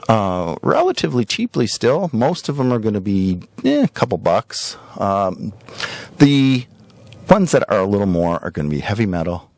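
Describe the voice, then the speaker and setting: Low-voiced man chatting casually, dry close sound. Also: no room sound. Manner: conversational, drawn-out emphasis, tag questions. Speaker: podcast host and record shop seller. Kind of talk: casual rambling product showcase.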